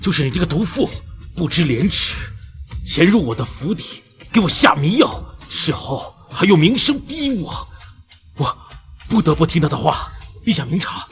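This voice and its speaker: Highly animated scheming young lord. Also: male voice, moderate pace, soft background music. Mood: accusing, desperate. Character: scheming, cowardly nobleman in a costume drama. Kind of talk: costume drama acting.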